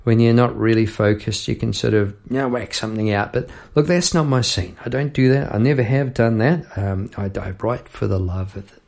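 A man's voice: medium pitch, a little fast, vocal fry, hardly any pauses. A middle-aged man hosting a songwriting podcast, musing in a rambling, casual way with many restarts. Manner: rambling and warm-hearted, with frequent restarts and musing asides. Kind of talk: casual reflective podcast talk about songwriting. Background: guitar being played.

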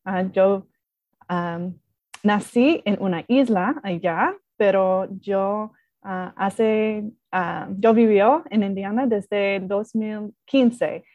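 A woman with an American accent, a native English speaker, introducing herself in halting, apologetic second-language speech. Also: mid-range voice, a little mellow, no echo. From Washington State, USA. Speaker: interview guest, program director. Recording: dull video call audio.

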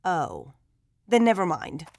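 Flustered, animated fussing mother. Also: lowish voice, creaky, dry recording, heavily acted. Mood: bossy, sheepish. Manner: fussing, brisk commands. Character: flustered, bossy, affectionate middle-aged mother. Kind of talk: dramatized Bible story.

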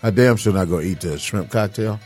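A man's voice: lowish voice, fairly quick, a little mellow, slightly rough, soft background music. A man hosting an internet radio show in casual, laughing, profane chat.

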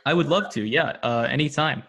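A man's voice: a little high, a little fast, creaky, sharp tone. Enthusiastic man with lots of ums. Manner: enthusiastic, many ums and uhs. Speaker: podcast guest, audio drama producer. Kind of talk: casual interview talk.

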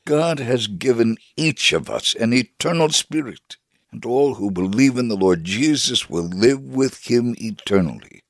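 A man giving a dramatic reading as a devout Holocaust survivor telling his story, measured, solemn and earnest. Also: medium pitch, expressive, dry recording, clean studio sound. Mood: solemn, assured. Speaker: dramatic reader. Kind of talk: dramatic first-person memoir reading.

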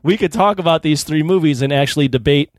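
A man's voice: fairly high pitch, fast talker, dry recording. A man in his thirties co-hosting a podcast, talking casually about films. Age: 30s. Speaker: podcast co-host. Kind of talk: casual film discussion.

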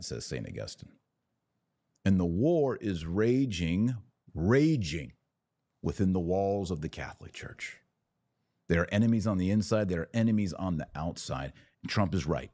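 Low-pitched male voice, podcast host, preaching monologue, declamatory, short emphatic phrases, dry close sound. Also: big pitch swings, moderate pace, dark tone, no echo. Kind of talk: opinionated religious commentary, preaching monologue.

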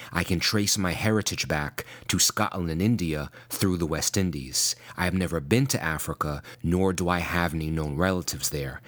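Male preacher from New York, very low register, measured and emphatic delivery. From United States (New York). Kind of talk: scripted sermon.

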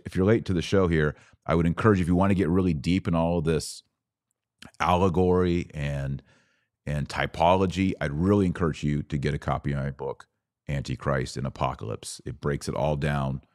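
Deep-voiced man teaching scripture. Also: darkish tone, no echo, studio quality. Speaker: podcast host. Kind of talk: religious teaching podcast, explaining scripture.

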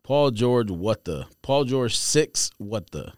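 Dude co-hosting a podcast, blunt and teasing, voice moves around a lot, very dry audio. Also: male voice, medium pitch, expressive, takes it slowly, no echo. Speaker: podcast co-host. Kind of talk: casual sneaker chat.

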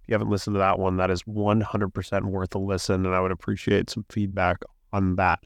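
A man's voice: low-pitched, flat delivery, fairly quick, no room sound. A man hosting a podcast, chatty and enthusiastic with plenty of fillers, talking while a little sick and sniffling. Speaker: podcast host. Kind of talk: casual podcast intro and interview. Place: office.

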